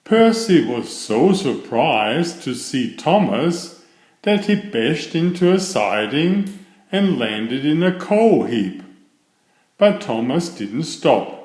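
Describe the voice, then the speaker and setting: A man reading a children's storybook aloud, telling it in an expressive and deliberate way. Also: on the high side. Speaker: children's book reader. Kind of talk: storybook read-aloud narration. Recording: roomy, echoing voice recording.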